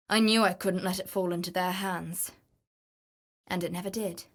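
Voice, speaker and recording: An actress playing an adventurous, greedy woman treasure hunter in a video game, confident, wry and theatrical. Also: fairly low pitch. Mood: candid, wry. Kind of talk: video game character voice lines.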